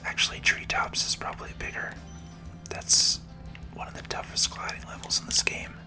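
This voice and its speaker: A person whispering calm, unhurried ASMR commentary over a video game playthrough in a soft, breathy voice. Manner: calm, unhurried, gently explanatory. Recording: music behind the whisper. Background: game music.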